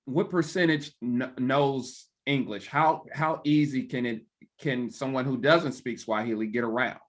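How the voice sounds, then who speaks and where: A man's voice: mid-range voice, unhurried. Man hosting with short affirmations. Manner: brief encouraging affirmations, question-asking. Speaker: host. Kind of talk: conversational interview.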